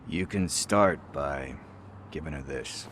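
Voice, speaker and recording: Game casting: scruffy wisecracking informant, deep voice, unhurried streetwise delivery, music underneath. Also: male voice, fairly bright tone, slight background noise, exaggerated voice. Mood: gruff concern. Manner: loose, casual, streetwise.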